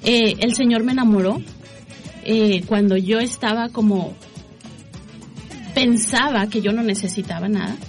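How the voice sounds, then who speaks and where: A middle-aged woman telling her personal testimony in an interview, earnestly, voicing her own remembered thoughts as she goes. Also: mid-range voice. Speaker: interview guest, lay evangelization worker.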